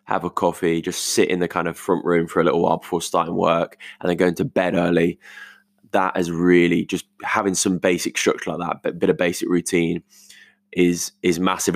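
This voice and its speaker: A young British man with a croaky voice recording a casual, reflective voice note at home, rambling informally as he thinks aloud. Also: deep voice, no echo, clean studio sound. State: croaky voice today. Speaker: podcast host. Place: multi-purpose living room at home.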